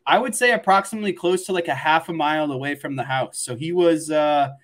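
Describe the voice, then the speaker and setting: A man's voice: on the high side, fast talker, a bit bright. A young man co-hosting a sports show, chatting casually.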